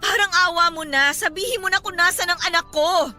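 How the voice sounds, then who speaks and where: A young Filipino mother in a radio drama, desperate and angry. Her voice is extremely high and piercingly bright. She speaks with heated, emphatic sarcasm over dramatic music. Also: fairly quick, heavily acted. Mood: pleading, desperate. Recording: dramatic music bed behind the voice.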